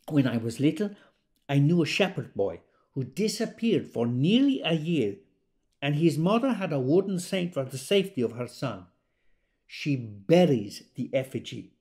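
An actor playing a devout, superstitious country believer, telling stories emphatically in an audio drama monologue. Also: male voice, a little high, darkish tone. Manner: emphatic, storytelling.

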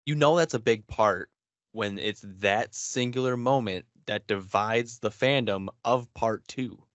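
A man, co-hosting a podcast in a laid-back, halting, conversational way. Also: medium pitch, dry recording, muffled recording. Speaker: podcast co-host. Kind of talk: casual chat about video games. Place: home bedroom. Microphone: headset, video call.

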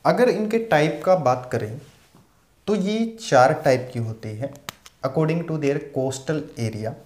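A young man teaching a zoology class at a whiteboard, explaining slowly and repeating key terms as he writes. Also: on the high side. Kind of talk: whiteboard lecture mixing Hindi and English. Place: small room with a whiteboard. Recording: echoey room.

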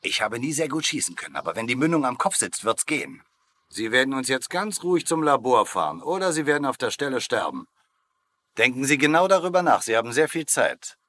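An actor playing a sardonic, authoritative, cool-headed colonel in a dubbed TV show. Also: male voice, mid-range voice, big pitch swings, brisk, sharp tone. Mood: menacing, wry. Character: wry, commanding American colonel, cool-headed resistance leader. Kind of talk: dubbed TV acting.

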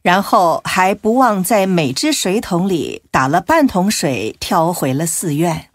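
Expressive female story narrator. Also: fairly low pitch, measured pace, no room sound, clean studio sound.

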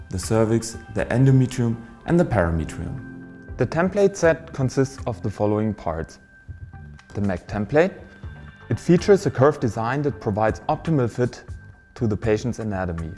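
Mellow-voiced man, formal product presenter. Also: mid-range voice. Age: 30s. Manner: formal, measured, step-by-step delivery. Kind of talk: scripted instructional narration.

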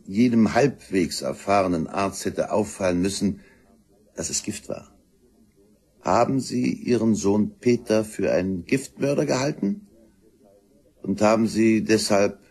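An actor playing a matter-of-fact, probing police detective in a German crime radio play, with a husky voice. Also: male voice, on the low side, darkish tone, full character voice. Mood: probing, grave.

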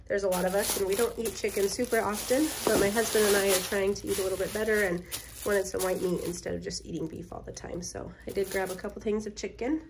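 A woman's voice: medium pitch, noisy background. A woman in her thirties, recovering from a cold with a strained voice, chatting casually in a home grocery haul vlog filmed on a phone in her kitchen. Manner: chatty, many ums, homey and upbeat. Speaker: vlogger. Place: farmhouse kitchen, indoors. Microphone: phone camera at arm's length.

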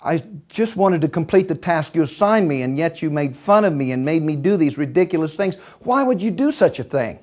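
An earnest errand-runner acted by a male storyteller in a somewhat high voice. He pleads politely and desperately, as in a spoken tale. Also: animated, a little mellow, close to an everyday voice. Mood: earnest, hurt. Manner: hurried, pleading, stammering at times.

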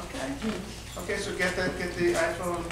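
A man's voice: high voice, brisk. A man improvising a comedy scene on a small stage, chatty and laughing, acting mock-perturbed. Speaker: improv performer. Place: small theater stage with audience. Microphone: far room mic. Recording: echoey room from far off, some noise.

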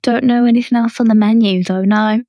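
A fierce teenage girl played by a woman with a fairly high voice. She is curt and demanding, in audiobook drama style. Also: steady tone, brisk, mellow, lightly acted. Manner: curt, abrasive. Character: fierce, demanding seventeen-year-old girl. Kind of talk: full-cast audiobook acting.

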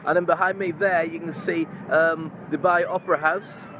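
Clear outdoor noise surrounds a middle-aged man with a somewhat high voice. He talks in a chummy, effusive way, as in a casual live stream. The phone is at arm's length in an open, busy park. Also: fairly even intonation, moderate pace, fairly bright tone. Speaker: vlogger, entrepreneur and stage hypnotist. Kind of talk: casual chatty live-stream vlog to followers. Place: large open park outdoors among crowds. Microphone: phone held at arm's length. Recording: noisy outdoor night crowd ambience.

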